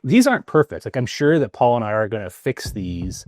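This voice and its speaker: A man's voice: mid-range voice, wildly swooping pitch, fairly quick, dry recording. A man hosting a casual business conversation, talking in a loose, chatty way with many fillers and restarts. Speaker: host.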